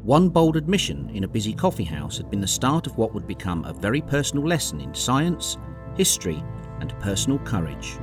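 A man's voice: lowish voice, sing-song, fairly quick, music in the background. A man delivering scripted narration for an audio drama.

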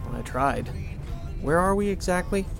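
A man's voice: high voice, expressive, moderate pace, creaky, soft background music. A man playing a curious, sceptical young college student in an audio drama. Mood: doubtful, curious.

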